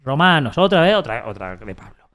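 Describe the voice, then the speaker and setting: A man's voice: medium pitch, big pitch swings, fairly bright tone. A man with a Spanish accent from Spain reading a text aloud on a live stream, breaking off for sarcastic, mocking asides and restarting his sentences often. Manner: halting, frequent restarts while reading.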